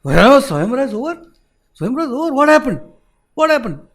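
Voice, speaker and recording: A man voicing a jealous, wrathful male demon deity who broods and threatens in a low voice. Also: sing-song, measured pace, fairly bright tone, exaggerated voice. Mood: indignant.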